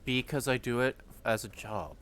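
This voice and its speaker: A man who streams for a living, making slow, dry, laid-back remarks in casual gaming chat. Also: mid-range voice, a bit bright, slight background noise.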